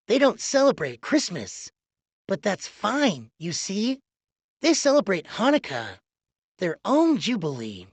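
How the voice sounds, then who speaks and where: A woman's voice: medium pitch, husky, dry recording, pitched below the performer's own voice, exaggerated voice. A woman voicing a patient, reassuring head elf boss from a storybook, explaining things soothingly to a newcomer. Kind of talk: storybook character voice.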